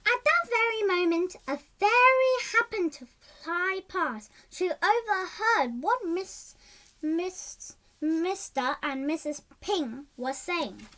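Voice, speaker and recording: Child reader, high voice, slow pace, lively swoops in pitch, halting storybook read-aloud with stumbles and repeats. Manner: halting, stumbles over words and repeats them.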